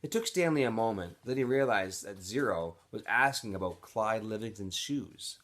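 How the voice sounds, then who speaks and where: A man's voice: lowish voice, moderate pace. A man reading a children's novel aloud expressively, like a teacher reading to students. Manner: expressive, switches into character voices.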